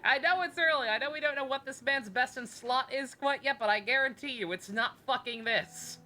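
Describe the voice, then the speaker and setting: A woman's voice: sits high, a little fast, bright, forceful tone, a little hiss or hum. A woman doing casual live game commentary as a streamer, chatty, sarcastic and sweary. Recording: game music behind voice. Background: video game music.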